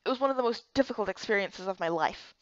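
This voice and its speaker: A woman reading scripted first-person story narration in an expressive, dramatized manner. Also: mid-range voice, fast talker, dry recording, muffled recording. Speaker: narrator and reader of a visual novel.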